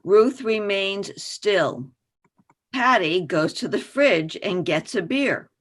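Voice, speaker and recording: A woman's voice: medium pitch, expressive, takes it slowly. A woman reading scripted stage directions as narrator for a play reading.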